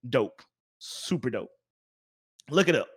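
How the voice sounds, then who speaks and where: A man's voice: mid-range voice, very animated, takes it slowly, breathy edge. A man hosting a podcast in casual, opinionated chat, speaking emphatically and repeating words for emphasis. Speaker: podcast host.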